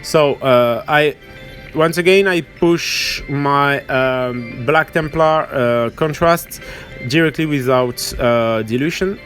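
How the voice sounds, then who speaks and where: A man with a French accent and French as his first language gives an informal hands-on painting tutorial, hesitant and halting as he searches for words. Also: medium pitch, a bit bright, soft background music. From France or French-speaking region. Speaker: miniature painting tutorial host.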